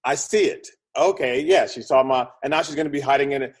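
A man speaking as an expert interview guest, explaining conversationally in an animated way with short punchy phrases and a slightly husky voice. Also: medium pitch, quick pace. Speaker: interview guest, screenwriting consultant and producer.

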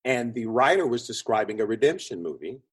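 A man's voice: mid-range voice, sing-song, brisk, breathy edge. A man speaking conversationally as an interview guest, explaining ideas like a storyteller and acting out quotes. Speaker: guest, film producer and script consultant.

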